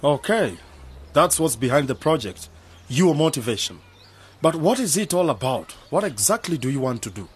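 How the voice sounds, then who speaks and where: A man's voice: a little high, sing-song, normal speed, slight background noise. A man acting in a radio drama as a skeptical, pragmatic young planner, challenging and matter-of-fact. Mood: probing.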